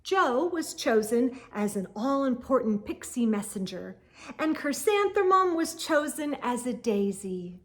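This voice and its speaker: A woman hosts storytime in a high, very animated voice. She narrates slowly and dramatically, shaping each phrase deliberately for young listeners. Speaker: storytime host and reader. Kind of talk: expressive children's read-aloud narration.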